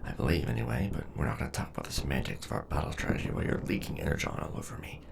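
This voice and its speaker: A man playing a gruff, loyal, protective robot soldier in an audio drama, earnest and rough-edged. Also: very deep voice, steady tone, racing, heavily acted. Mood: wry, suspicious. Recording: very noisy voice track with ambience.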